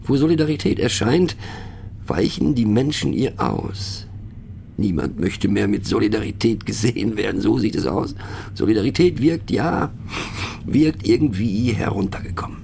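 An actor playing a pensive, mocking narrator in a radio play, musing rhetorically on abstract words and addressing the listener in wry asides. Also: male voice, on the low side, lively intonation, moderate pace, vocal fry, warm tone, slight background noise. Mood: wry, confiding.

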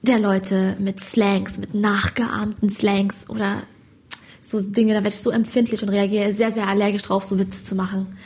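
A young woman from Hesse with a Hessian accent, a stand-up comedian chatting in an interview, animated and slangy, often asking "you know?". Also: mid-range voice, moderate pace, slightly rough. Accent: Hessian German. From Hesse, Germany. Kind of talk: casual interview chat.

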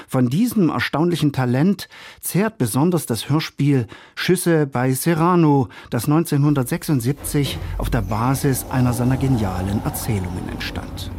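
A man's voice: medium pitch, normal speed, darkish tone, slightly rough. A man reading a scripted radio review in formal, literary phrasing. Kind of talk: scripted radio feature narration.

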